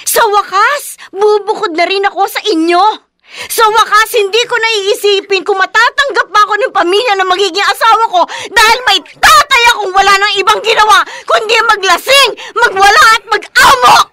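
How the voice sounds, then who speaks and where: High, bright-voiced anguished daughter. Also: female voice, moderate pace. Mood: anguished outburst. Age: young adult. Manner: emotional, dramatic delivery. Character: weary, anguished adult daughter of an alcoholic father. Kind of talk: Filipino radio drama acting.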